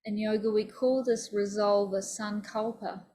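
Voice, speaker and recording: A woman teaching yoga nidra, leading a guided meditation in a soothing, deliberate, instructive manner. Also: medium pitch, fairly even intonation, mellow, slight background noise.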